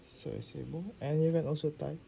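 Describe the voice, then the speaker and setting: A man's voice: on the high side, steady tone, brisk, gravelly, very noisy. A man giving a casual narrated demo as he reviews a phone app.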